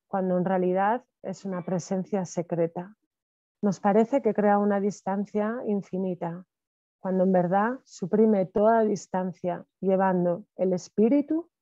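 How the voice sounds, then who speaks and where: A woman in her thirties, a guest speaker talking reflectively on a video call from home, with soft fillers and restarts. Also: medium pitch, no room sound. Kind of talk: conversational talk. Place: home room with wood ceiling. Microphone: video call with earphones. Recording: slightly dull video call audio.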